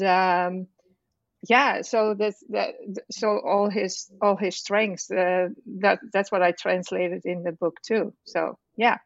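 A middle-aged woman from the Netherlands speaks slowly in English, her second language, with a clear Dutch accent. She pauses often and restarts her sentences. The sound is dry and slightly dull. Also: mid-range voice, no echo. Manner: halting, many fillers and restarts. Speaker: author guest. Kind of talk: casual interview chat.

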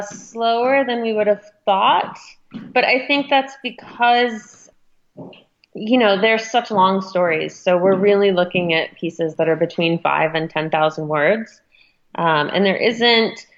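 A woman's voice: medium pitch, muffled recording. A woman speaking as a magazine editor in a conversational interview, explaining things thoughtfully.

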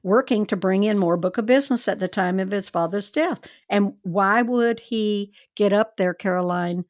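Woman, true crime podcast host, chatty and wry, mid pitch, dry close sound. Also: moderate pace, dry recording.

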